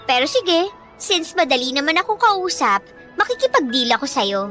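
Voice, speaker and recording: A woman's voice: high-pitched, sing-song, moderate pace, sharp tone, faint music behind, full character voice. A woman playing a mean, haughty teenage schoolgirl bully in a radio drama, taunting and sneering theatrically with a Filipino accent. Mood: sneering, demanding.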